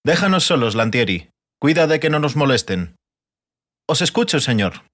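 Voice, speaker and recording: A man's voice: mid-range voice, big pitch swings, normal speed, fairly bright tone, voice pushed up. A man voicing a haughty, sarcastic bard in an audiobook, with a dry and pompous manner. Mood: dry, wary. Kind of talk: audiobook character voice.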